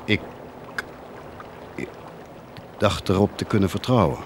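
An actor playing an anguished, guilt-ridden parish priest in a radio drama, solemn, halting and tormented. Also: male voice, low voice, animated, background noise. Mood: wary.

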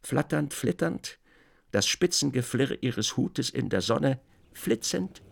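Man narrating a literary radio play, creaky voice, really varied pitch, expressive storytelling. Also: slow.

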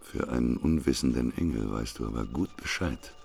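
A man's voice: a bass voice, moderate pace, gravelly, darkish tone, breathy edge, full character voice. A man playing a weary, grumbling prophet in a radio play, answering with grudging, sardonic retorts. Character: weary, grumbling male prophet, reluctant and argumentative.

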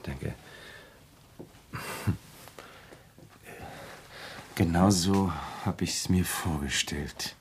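A very deep-voiced, wary visitor. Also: male voice, takes it slowly, slight background noise. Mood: reserved. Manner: terse, hesitant, guarded. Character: reserved, wary male evening visitor. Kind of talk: German crime radio play acting.

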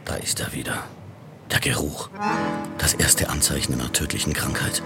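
An actor playing a weary, sardonic, middle-aged police inspector in a radio drama, muttering and theatrical. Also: male voice, rumbling low, moderate pace, creaky. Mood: brooding. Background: instrumental music.

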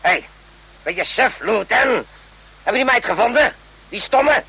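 An actor playing a volatile, worn-out army sergeant in a radio drama, barking demands and curses. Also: male voice, extremely high pitch, animated, unhurried, creaky, sharp tone, breathy edge, exaggerated voice, low-quality recording. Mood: furious, demanding.

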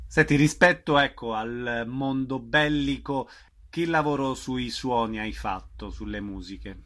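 Bright-voiced man interviewing on radio. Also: mid-range voice, measured pace. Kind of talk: conversational radio interview questions.

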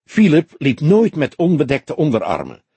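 A male narrator plays a sturdy young woman with a fierce, easily offended temper. The voice is lifted in pitch and snappish, with lively swings and a slightly husky grain, as in an audiobook. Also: moderate pace, no echo, full character voice. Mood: subdued. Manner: snappish, indignant. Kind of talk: audiobook character dialogue.

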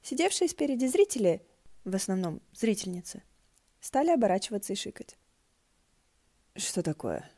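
A woman reading fan fiction aloud as an expressive audiobook narration. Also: fairly high pitch, a little fast, dry recording.

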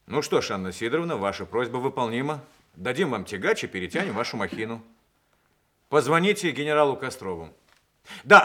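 Soviet radio play: sick war hero, middle-aged, plain-spoken, sighing, forceful tone, medium pitch. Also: male voice. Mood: hearty, courteous. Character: ailing war-veteran commander, weary yet hearty.

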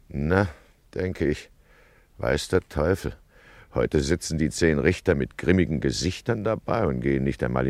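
Complacent grumbling judge, bass, animated, close dry radio-play recording, gravelly. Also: male voice, dry recording. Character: worried, peace-loving male town judge, complacent and plaintive. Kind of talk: German radio play monologue.

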